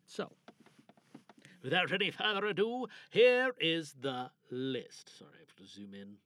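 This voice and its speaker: A man's voice: fairly high pitch, wildly swooping pitch, measured pace, a bit bright, dry recording, clean studio sound. A man hosting a podcast, making a casual, informal announcement in an enthusiastic, emphatic manner. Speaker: podcast host.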